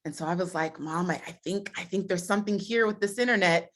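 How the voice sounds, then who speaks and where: A woman's voice: lowish voice, fast, a bit bright. Upbeat woman giving a keynote talk. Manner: upbeat, laughs often, emphatic lists. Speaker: summit speaker.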